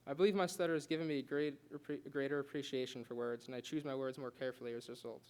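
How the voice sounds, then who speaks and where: A man's voice: medium pitch, steady tone, very fast, darkish tone, clear, resonant tone. A young man giving a prepared class speech, earnest, with occasional stutters and restarts. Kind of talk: prepared speech read aloud.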